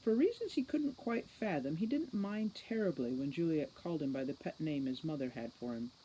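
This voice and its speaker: A woman reading a children's novel aloud as an expressive narrator. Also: deep voice, a little fast, slight background noise, rough recording. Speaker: storybook reader.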